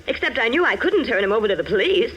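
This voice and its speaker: Pleading radio-drama heroine, lively intonation. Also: female voice, on the high side, fast talker, husky, muffled recording. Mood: distraught. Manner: earnest, melodramatic. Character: June, anguished, pleading young woman in trouble. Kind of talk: 1940s radio drama acting. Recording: hissy vintage radio recording.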